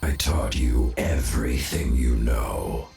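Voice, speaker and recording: An actor playing a scornful, menacing mercenary villain in a video game, with a gravelly, raspy growl. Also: male voice, rumbling low, darkish tone, soft background music, heavily acted. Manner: slow, contemptuous. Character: menacing, scornful male masked mercenary, bitter former mentor.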